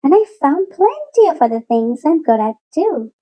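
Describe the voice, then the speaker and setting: A woman reading a children's picture book aloud in an expressive storytelling manner, with deliberate, drawn-out emphasis. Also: high voice, muffled recording. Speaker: storybook read-aloud narrator.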